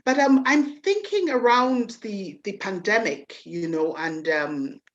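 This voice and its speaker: A woman's voice: medium pitch, animated, slow pace, highs cut off. A woman from the UK hosting an interview, chatty and full of fillers as she sets up her questions. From United Kingdom. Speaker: talk show host. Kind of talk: interview conversation.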